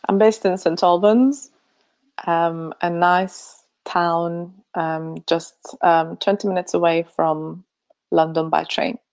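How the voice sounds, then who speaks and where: A middle-aged woman from Finland speaks as an interview guest with a fairly low voice. She talks thoughtfully, with many ums, and laughs easily. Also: little pitch movement, slow pace, darkish tone. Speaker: pharmacy association chief executive, guest. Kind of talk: remote interview.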